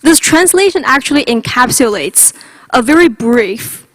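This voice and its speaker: A woman's voice: high voice, animated, a little slow, no room sound, rough recording. A woman from China, a native Chinese speaker with a Chinese accent, giving a prepared lightning talk as a conference speaker.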